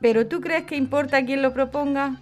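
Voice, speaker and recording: A woman's voice: high voice, moderate pace, a little mellow, soft background music, close to an everyday voice. An actress as a frank, affectionate older Spanish woman. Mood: fond, frank. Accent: Spain. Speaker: actor in an audio drama. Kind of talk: podcast radio drama acting, conversational.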